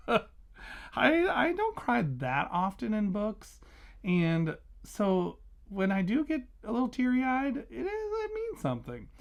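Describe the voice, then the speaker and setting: A man's voice: fairly high pitch, vocal fry. A man talking casually as a vlogging reviewer, gushing with emphatic, enthusiastic delivery. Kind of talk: casual chatty book review vlog.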